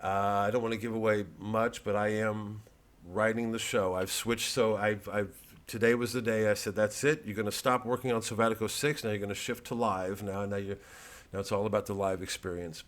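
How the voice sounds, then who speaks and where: Low-pitched man, deadpan rambling comedy monologue. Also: fairly quick. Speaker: comedian promoting his live show.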